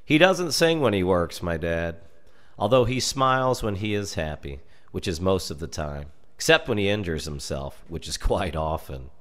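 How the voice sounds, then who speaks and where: An American man reading a darkly humorous story aloud in an expressive, measured and dramatic storytelling manner. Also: low voice. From United States. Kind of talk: expressive scripted narration of a humorous dark tale.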